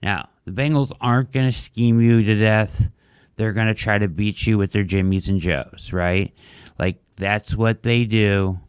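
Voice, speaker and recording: Sports podcast host, male, lowish voice, a bit hoarse from being under the weather, loose and chatty, dry close-mic sound. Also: fairly even intonation, unhurried, no echo. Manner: conversational, fillers like "like" and "right?". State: losing his voice, dry throat. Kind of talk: casual sports analysis podcast.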